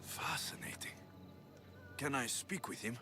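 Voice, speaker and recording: A man playing a calm, direct Spartan warrior hero in a video game, speaking in a measured, dry way. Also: mid-range voice, whispered, close to an everyday voice. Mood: calm, curious. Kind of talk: dramatic video game acting. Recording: music behind the voice.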